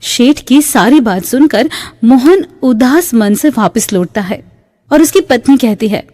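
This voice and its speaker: A woman's voice: fairly high pitch, animated, unhurried, warm tone. A woman narrating a scripted moral tale for a cartoon in a storytelling style. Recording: long reverb.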